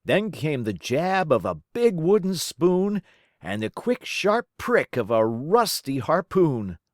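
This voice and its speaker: A woman reading a children's rhyming storybook aloud in a theatrical, deliberate, rhythmic way. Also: sits low, big pitch swings, dry recording, studio quality. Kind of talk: expressive children's storybook narration.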